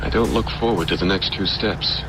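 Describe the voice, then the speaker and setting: An actor playing a stern, methodical Secret Service investigator in an old-time radio drama, with a firm, questioning manner. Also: male voice, deep voice, a little fast, natural voice. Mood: firm, grim. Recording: radio drama under loud truck cab noise. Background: loud truck engine and road noise.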